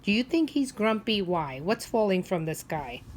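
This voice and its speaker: A woman teacher leading a read-aloud for young children, asking simple questions and pausing for them to think. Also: mid-range voice, sing-song, slight background noise.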